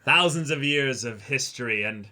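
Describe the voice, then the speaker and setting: Bright-voiced man in casual discussion. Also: medium pitch, normal speed. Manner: emphatic, casually sweary. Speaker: co-host of an anime reaction discussion show.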